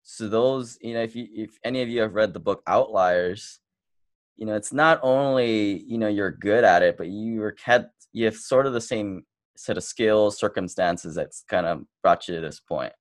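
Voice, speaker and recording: A man's voice: lowish voice, normal speed, dry recording, clean studio sound. A man in his thirties hosting a podcast in casual chat, laid-back and full of fillers, a bit tipsy. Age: 30s. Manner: laid-back, uses lots of fillers like "you know". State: tipsy from drinking beer. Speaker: podcast host.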